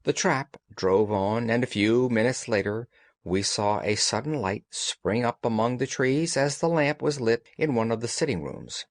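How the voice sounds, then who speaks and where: A man reading a story aloud as an audiobook narrator, in an expressive scripted style. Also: mid-range voice, moderate pace, no room sound, clean studio sound.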